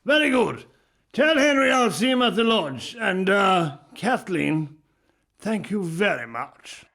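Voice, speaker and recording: Army general, radio play, creaky, animated, curt, scheming, commanding. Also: male voice, high-pitched, slow, exaggerated voice. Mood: smug. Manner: commanding, clipped orders.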